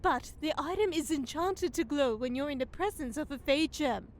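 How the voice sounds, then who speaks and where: Casting: knowing forest fairy, extremely high and animated, prim, precise, theatrical. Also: female voice, normal speed, slight background noise. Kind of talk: fantasy comedy audio drama acting.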